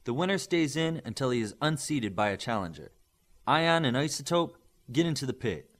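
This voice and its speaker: A man voicing a stern male combat coach in an audiobook, loud, clipped and commanding. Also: mid-range voice, big pitch swings, normal speed. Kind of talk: audiobook character voice.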